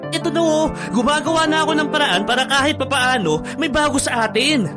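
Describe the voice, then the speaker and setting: A man's voice: extremely high pitch, expressive, a little fast. A man with a Filipino accent playing a hurt, pleading boyfriend in an online radio drama, coaxing and insistent. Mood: hurt, angry. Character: hurt, pleading boyfriend planning an anniversary getaway. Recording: music bed under the voice.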